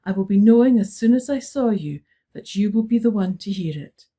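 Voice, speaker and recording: A woman voicing a stately old Highland widow with a rich, powerful voice and a lilting Scottish Highland accent. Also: animated, brisk, warm tone, breathy edge.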